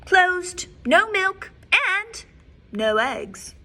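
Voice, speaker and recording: A woman voicing a group of demanding cows reading their typed notes aloud, loud and emphatic. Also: very high-pitched, big pitch swings, extremely slow, pitched above the performer's own voice, exaggerated voice.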